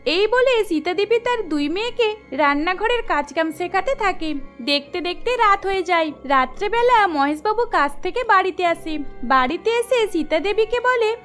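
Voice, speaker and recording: A woman's voice: extremely high pitch, animated, normal speed, fairly bright tone, faint music behind. A woman narrator reading a scripted story for a cartoon.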